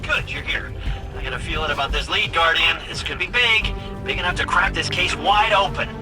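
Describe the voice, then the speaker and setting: Guy doing an excitable little robot sidekick in a game, chatty, kinda high, music behind him. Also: brisk, lightly acted. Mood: eager, persuasive. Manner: animated, chatty, informal. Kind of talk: video game cutscene acting.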